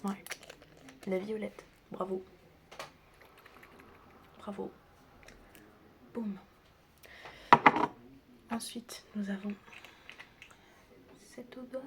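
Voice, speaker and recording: A woman speaking softly and often whispering in a playful, teasing ASMR roleplay, casually addressing the listener as a friendly doctor. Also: medium pitch, steady tone, very slow, long pauses. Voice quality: soft, breathy, often whispering. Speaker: ASMR creator playing a chaotic doctor best friend. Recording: close recording with clear background noise. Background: background noise, clicking.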